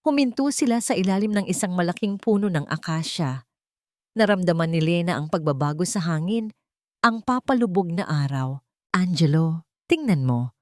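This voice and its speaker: A woman narrates a dramatic love story as a scripted audiobook. Her voice sits fairly low, and the sound is close and dry, with little room around it. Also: animated, moderate pace, no room sound.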